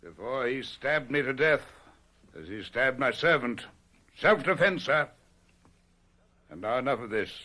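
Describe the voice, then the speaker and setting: An actor playing an imperious, vengeful aristocratic husband in theatrical radio horror drama. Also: male voice, mid-range voice, expressive, a little slow, pauses often, bright, forceful tone. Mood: cold command. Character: indignant, commanding aristocratic husband, vengeful knight.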